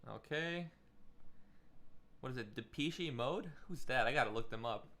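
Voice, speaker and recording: A man's voice: mid-range voice, animated, unhurried, sharp tone. A man running a casual livestream feedback session as a music production instructor, talking loosely with lots of "like" and "um" and giving encouraging advice. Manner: loose and chatty, full of "like" and "um" fillers; encouraging, gives friendly advice.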